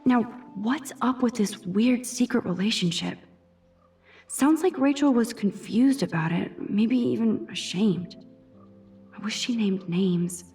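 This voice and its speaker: A young American woman playing an introspective, gentle teenage girl student in a video game, musing softly with wry asides. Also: a little high, measured pace, close to an everyday voice. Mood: reflective. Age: young adult. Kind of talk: video game voice acting, inner monologue. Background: background music.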